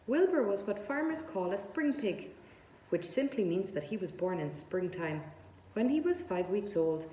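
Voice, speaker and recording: Woman reading a kids book out loud, soft mellow tone, echoey room. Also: medium pitch, a little hiss or hum. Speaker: read-aloud storyteller. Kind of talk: expressive children's book narration.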